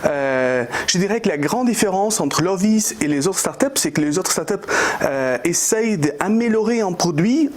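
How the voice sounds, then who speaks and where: A man's voice: high voice, lively intonation, normal speed. A man in his thirties, a startup CEO from Portugal, answering interview questions in a hesitant way with a Portuguese accent and many restarts. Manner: hesitant, many fillers and restarts, non-native phrasing.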